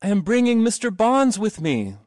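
A man voicing an eager, earnest young boy in a storybook reading, exclamatory and excitable. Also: medium pitch, brisk, no room sound, clean studio sound, lightly acted. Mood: excited, joyful.

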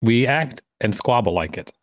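A man's voice: medium pitch, lively intonation, normal speed, no echo. Male podcast host reading aloud. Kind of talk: reading an article aloud with casual commentary.

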